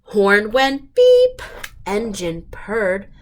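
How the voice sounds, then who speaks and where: A woman's voice: mid-range voice, wildly swooping pitch, drawn-out words, slight background noise. A woman hosting storytime, reading picture books aloud in simple, emphatic phrases and putting questions to young listeners. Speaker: storytime host reading to children.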